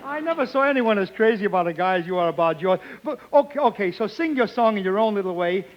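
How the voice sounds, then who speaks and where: A man's voice: sits high, animated, fast. A man hosting a 1940s radio comedy show, wisecracking and eager, playing off a live studio audience. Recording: dull old radio broadcast with studio audience and hiss.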